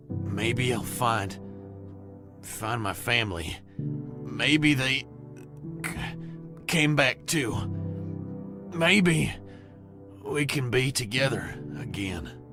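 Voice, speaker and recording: A man voicing a sick, dying, grieving survivor in a comic dub, weak and halting. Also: medium pitch, animated, over music. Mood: weary, hopeful. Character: Jim, dying bitten man, pleading, grieving.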